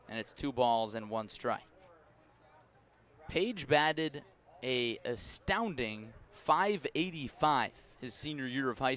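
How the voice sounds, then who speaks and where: An American man doing live radio play-by-play of a baseball game in a measured, descriptive style. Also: medium pitch. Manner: measured, descriptive calls that rise to excitement on big plays. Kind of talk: live radio sports commentary.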